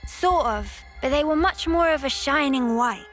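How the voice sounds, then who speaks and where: A young female soldier in a video game, with a fairly high voice and a blunt, colloquial way of talking. Loud music plays behind her. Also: expressive, a little slow. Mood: blunt, questioning.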